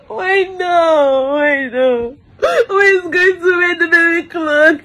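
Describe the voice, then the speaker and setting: A distraught woman, crying and wailing through an emotional lament, repeating phrases over and over. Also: extremely high pitch.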